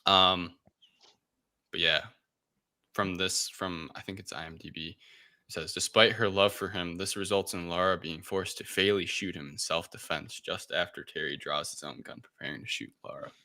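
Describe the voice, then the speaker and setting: A man's voice: deep voice, fairly even intonation, moderate pace, pushed and bright, no echo. A man co-hosting a podcast, casually recapping a film in a hesitant, rambling way. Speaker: podcast co-host.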